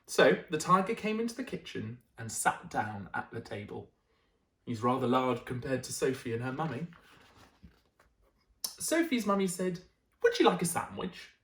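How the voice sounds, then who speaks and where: A man's voice: mid-range voice, sing-song, moderate pace, long pauses, a bit bright, roomy sound. A man reading a children's picture book aloud as a storyteller, with dramatic emphasis and friendly asides to his listeners.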